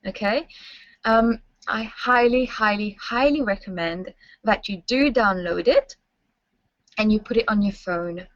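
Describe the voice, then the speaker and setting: A woman's voice: medium pitch, unhurried. A young woman guides students through a webinar, friendly and instructive, often checking they follow along. The sound is dry with no room echo, and slightly muffled and dull. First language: French. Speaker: university staff presenter. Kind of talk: webinar presentation.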